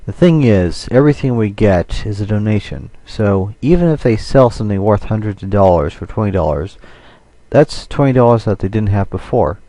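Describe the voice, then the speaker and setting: Low-voiced man with a bright, dry sound. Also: lively intonation, moderate pace, no room sound. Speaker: vlogger recording an audio log. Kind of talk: personal audio-log monologue, part scripted.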